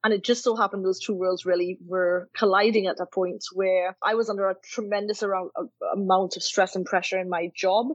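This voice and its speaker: A woman from Trinidad shares her life story as an interview guest, reflective and earnest, leaning on soft fillers as she thinks aloud. Her voice sits at a middle pitch. It comes through a slightly dull, dry recording. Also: fairly even intonation, fairly quick, few pauses, no room sound. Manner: reflective and earnest, many "you know" and "kind of" fillers. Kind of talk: candid personal storytelling.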